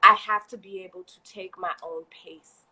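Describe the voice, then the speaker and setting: A woman with a Haitian accent, a guest entrepreneur talking casually and at length on a live video stream, animated and quick to laugh. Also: mid-range voice, a little hiss or hum, low-quality recording. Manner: talkative, animated, laughs often. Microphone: video call.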